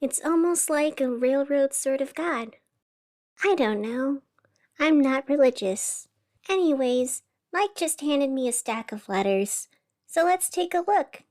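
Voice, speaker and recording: A woman playing a gentle, musing radio host in a scripted fiction podcast, speaking in a soft, reflective, conversational way. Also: high-pitched, expressive, unhurried. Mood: chatty, wry. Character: gentle, musing young female radio host of a strange city.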